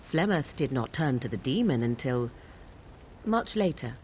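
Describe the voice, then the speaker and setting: An actress playing an aloof, sardonic young witch of the wilds in a fantasy video game, with formal archaic diction and a deliberate, theatrical delivery. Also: extremely low pitch, sing-song, darkish tone, slight background noise, full character voice. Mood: storytelling, cool.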